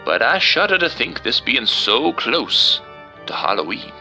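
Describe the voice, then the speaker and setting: A man's voice: mid-range voice, very animated, measured pace, gravelly, bright, forceful tone, soft background music, full character voice. A man voicing a fearful colonial village woman in a storybook, with quavering, old-fashioned speech.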